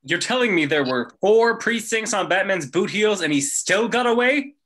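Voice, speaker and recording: A man acts a city politician from an animated film script, full of indignant bluster. The voice is fairly high and sharp-edged, defensive and protesting. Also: moderate pace. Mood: outraged.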